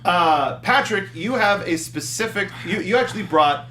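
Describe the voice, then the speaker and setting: A man's voice: sits high, expressive, moderate pace, sharp tone. A man hosting a film review panel, leading a casual discussion and moving it along. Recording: clearly noisy room background.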